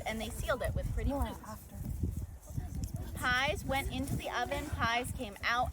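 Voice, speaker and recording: A woman's voice: on the high side, poor audio. A woman reading a children's story aloud as a volunteer storyteller, expressively acting out the dialogue and sound words, recorded outdoors. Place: outdoors at an orchard event. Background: outdoor ambience and background noise.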